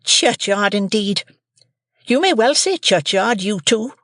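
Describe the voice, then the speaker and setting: A man doing a shrill scolding sister. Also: lively intonation, takes it slowly, no room sound, clean studio sound, full character voice. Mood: scornful. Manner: sharp, scolding, loud and nagging. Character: shrill scolding sister, harsh tempered woman.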